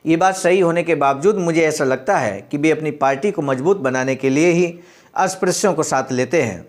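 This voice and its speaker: A man's voice: fairly high pitch, normal speed. A man reading a speech aloud and explaining it, addressing his listeners in an earnest, exhortative way. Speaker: speaker reading and commenting on a historical speech.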